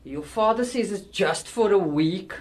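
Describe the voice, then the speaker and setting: A woman's voice: medium pitch, animated, a little slow, darkish tone. A woman playing a harried, strict mother in a radio drama, exasperated and fussing, with a Cape Town South African accent. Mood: flustered. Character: harried, strict, fretful middle-aged mother. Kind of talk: amateur radio drama acting.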